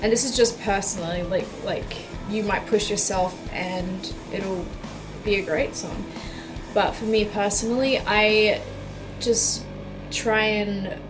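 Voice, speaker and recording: A woman in her twenties chatting casually in a video, answering viewer questions with frequent likes and ums. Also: mid-range voice. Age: 20s. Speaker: YouTube musician. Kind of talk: casual Q and A vlog.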